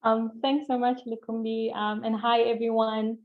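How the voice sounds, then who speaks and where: A woman's voice: fairly high pitch, level tone, normal speed. A woman from Zimbabwe, a programs manager, giving an upbeat, chatty webinar presentation with lots of fillers. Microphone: video call. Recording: dull lo-fi video call audio.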